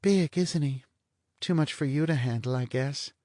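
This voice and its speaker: A lazy, sardonic blonde who teases everyone around her, as a male narrator puts her on. She drawls high and soft, every line dripping with mockery, close and dry. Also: moderate pace, dry recording, lightly acted. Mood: mocking. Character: languid mocking blonde woman, teasing.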